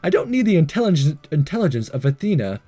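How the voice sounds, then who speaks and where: A man reads a visual novel aloud as a let's player, stumbling now and then and slipping in sarcastic asides. His voice is fairly high and mellow, with very lively intonation. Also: quick pace, slightly rough. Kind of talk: reading visual novel aloud with casual commentary.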